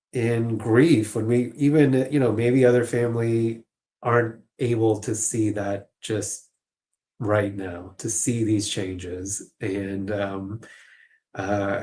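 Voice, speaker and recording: A man speaking as a social worker guest in a panel conversation, thinking aloud in a halting, tentative way. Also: fairly low pitch, mellow.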